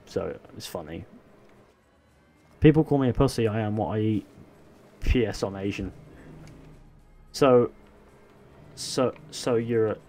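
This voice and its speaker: A man's voice: mid-range voice, darkish tone. A young man, chatting casually and answering chat questions while playing a game live. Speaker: gaming livestreamer.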